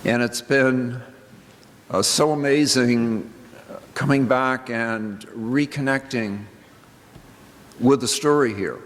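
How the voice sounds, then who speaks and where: An older man speaking as a minister in a reflective church address, with deliberate, halting phrasing and pauses for emphasis. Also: mid-range voice. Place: large indoor church sanctuary. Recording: reverberant church hall with background noise.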